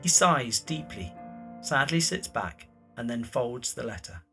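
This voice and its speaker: A man's voice: mid-range voice, very animated, a little slow, creaky, pushed and bright, soft background music. A man reading scripted narration for a dramatised story.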